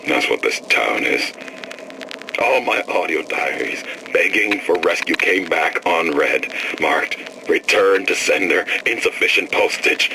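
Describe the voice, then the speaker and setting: A man's voice: deep voice, lively intonation, measured pace, gravelly, background noise, full character voice. An actor playing a bleak, sardonic, abandoned man in hiding, recording a game audio diary. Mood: bitter, despairing. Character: bitter, abandoned man in hiding, begging for rescue.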